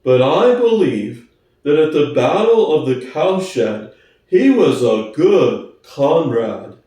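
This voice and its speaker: Man doing a slow, earnest old-style storybook workhorse. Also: on the high side, big pitch swings, warm tone, voice pushed down. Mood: uneasy, firm. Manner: slow, laboured, stolid delivery. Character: slow-witted, earnest, loyal big male workhorse. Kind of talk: dramatic audiobook reading. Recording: echoey room, distant from mic.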